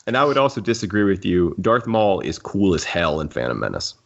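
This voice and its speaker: Male podcast host, low-pitched, wry and rambling, slightly dull lo-fi sound. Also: big pitch swings, a little fast, vocal fry. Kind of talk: casual podcast discussion. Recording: dull, lo-fi audio.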